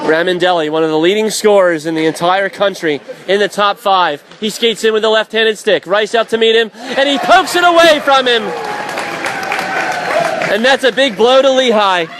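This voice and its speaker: High-pitched male hockey announcer over crowd noise. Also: normal speed. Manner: excitable, vivid, dramatic calls. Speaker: radio play-by-play announcer. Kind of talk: live ice hockey play-by-play commentary. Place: indoor ice rink broadcast position. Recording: loud crowd and rink noise behind. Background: hockey crowd and rink ambience.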